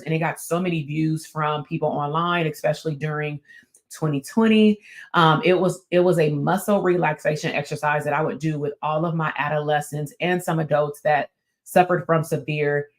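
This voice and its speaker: A middle-aged woman teaches casually to camera with a raspy, strong voice that is far from soft. She talks like a therapist-turned-coach, loose and conversational, often pausing on an "um" and restarting her sentences. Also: low voice. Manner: conversational, with many fillers and restarts. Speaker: therapist and business coach hosting her channel.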